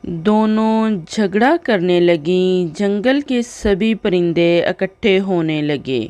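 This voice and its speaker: A woman narrating a cartoon story in a slow, even storytelling way. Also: lowish voice, clean tone, no room sound.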